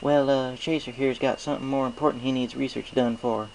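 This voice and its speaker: Man putting on a folksy, higher-pitched country pony mare. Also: steady tone, a little fast, vocal fry, slight background noise, natural voice, rough recording. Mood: casual, earnest. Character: no-nonsense country mare pony, friendly and exasperated.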